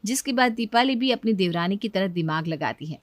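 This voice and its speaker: A woman storyteller giving a scripted, expressive narration of a moral tale. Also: mid-range voice, a little fast, no room sound.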